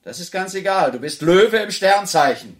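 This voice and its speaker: An actor playing a self-assured, glib prison charmer in a radio crime play, patiently explaining. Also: male voice, sits high, animated, moderate pace. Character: glib, self-assured male inmate charmer, ghostwriting love letters.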